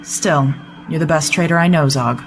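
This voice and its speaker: A woman's voice: deep voice, normal speed, faint music behind, close to an everyday voice. A woman playing a composed starship officer in a sci-fi audio drama, dry, teasing and unruffled. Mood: wry, patient.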